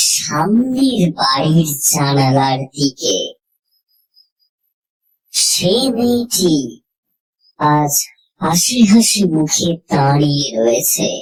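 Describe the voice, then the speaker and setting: A woman narrating a horror story in the first person, in a dramatic and measured manner. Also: low-pitched, low-quality recording.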